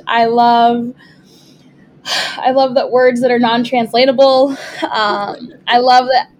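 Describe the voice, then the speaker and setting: Young woman host, easygoing, fairly high voice, faint hiss. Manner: relaxed, laughs, "um" fillers. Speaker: podcast host. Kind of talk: casual chat.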